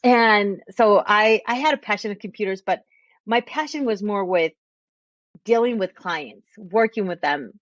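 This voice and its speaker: A woman's voice: mid-range voice, measured pace, no room sound, dull audio. A woman in her thirties from Texas and Puerto Rico, hosting a podcast and telling stories about her life in a chatty way, laughing at herself. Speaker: podcast host, realtor. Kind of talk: casual storytelling monologue.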